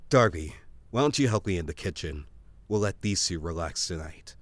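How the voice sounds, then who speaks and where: A man playing an easygoing, caring boyfriend, reassuring and gently playful, in an audio drama. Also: deep voice, expressive, normal speed, no echo.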